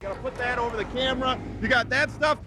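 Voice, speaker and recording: A man doing lively live television banter, exuberant and teasing. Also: very high-pitched, fast talker. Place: outdoors on a city street in rain. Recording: outdoor live shot, clear street noise.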